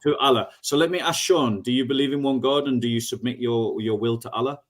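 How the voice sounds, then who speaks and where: A man's voice: mid-range voice, fast. A man in his thirties on a video call from a small room at home, cutting in with pointed questions. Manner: jumps in, asks pointed questions. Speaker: debate guest. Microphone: webcam video call.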